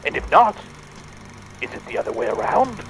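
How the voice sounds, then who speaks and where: A man playing a fussy, excitable Victorian gentleman inventor, speaking a pompous, wordy audio diary in a theatrical drama. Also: high-pitched, moderate pace, gravelly, a little hiss or hum, exaggerated voice, low-quality recording. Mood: pondering. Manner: pompous, wordy, hesitant musing.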